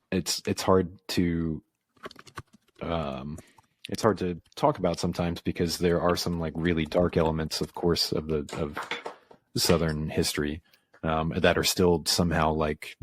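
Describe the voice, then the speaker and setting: A man from the American South, a podcast guest, talking casually and reflectively with lots of pauses and fillers. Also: a bass voice, little pitch movement, a little hiss or hum. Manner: reflective, halting, filler-heavy. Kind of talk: casual conversation.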